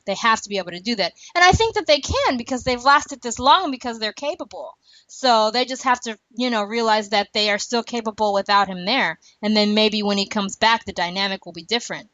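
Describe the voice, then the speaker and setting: A woman's voice: fairly high pitch, a little fast, no echo. Chatty woman on a dull lo-fi mic. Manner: chatty, laughs often, animated asides. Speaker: podcast host. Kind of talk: casual chat about a TV show. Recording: dull lo-fi, dry.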